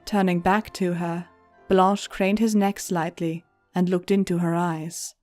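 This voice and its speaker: Woman reading an audiobook, mellow warm tone, bit of music underneath. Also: lowish voice, flat delivery, measured pace, no room sound. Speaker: audiobook narrator. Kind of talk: scripted story narration.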